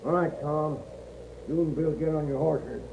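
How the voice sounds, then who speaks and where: A man's voice: fairly high pitch, steady tone, normal speed, creaky, dark tone. A man playing a wary, grudging leader of a hanging posse in a 1950s radio western. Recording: tinny, faintly noisy old radio broadcast.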